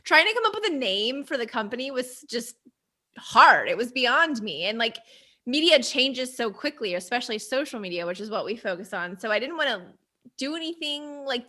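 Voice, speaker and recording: A woman being interviewed speaks in a youthful, girlish voice that sits fairly high. Her tone is bright and forward, and she explains things in a conversational way. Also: lively intonation, moderate pace. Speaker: interview guest, agency founder.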